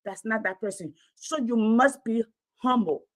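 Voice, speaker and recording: A woman's voice: a little high, a little slow. A woman with a Liberian accent, telling a story in a casual talk show and often checking in with "okay?".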